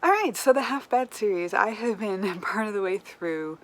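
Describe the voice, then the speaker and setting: A woman chatting casually to viewers as a book vlogger, with animated, self-deprecating asides. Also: medium pitch, moderate pace. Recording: clear background room noise.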